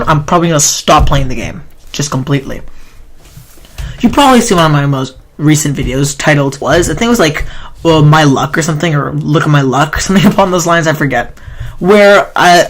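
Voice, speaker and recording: A man with a sore throat and a nasal voice, rambling through a gaming countdown as a YouTuber, often correcting himself mid-sentence. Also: a little high. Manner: rambling, self-correcting, complaining. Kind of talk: casual countdown commentary.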